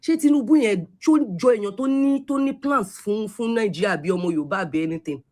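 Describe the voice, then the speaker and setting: A woman's voice: medium pitch, fast, dark tone. A Yoruba-speaking woman with a Yoruba accent, scolding at length in an angry online rant and switching between Yoruba and English. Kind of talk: angry social media rant.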